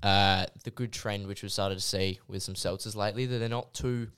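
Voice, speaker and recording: A man's voice: on the low side, steady tone, brisk, a bit bright, clean tone. A young Australian man co-hosting a podcast in an easygoing, rambling way. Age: young adult. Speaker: podcast co-host. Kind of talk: casual podcast chat. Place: podcast studio.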